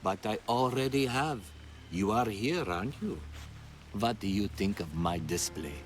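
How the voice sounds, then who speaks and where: An actor playing a calm, sadistic game villain who taunts in a mocking, menacing manner. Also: male voice, fairly low pitch, a little slow. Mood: menacing, gloating. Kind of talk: dramatic video game acting. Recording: dramatic music behind the voice.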